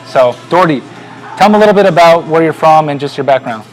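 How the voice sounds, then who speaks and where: A man, a team owner, presenting a sponsor segment in a relaxed way with many fillers. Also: a little high, fast talker, soft background music, rough recording.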